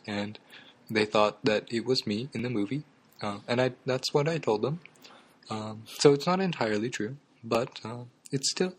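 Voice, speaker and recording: Halting deadpan young man hosting. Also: medium pitch. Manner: halting, full of ums and uhs. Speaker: comedy talk show host. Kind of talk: absurdist deadpan comedy chat.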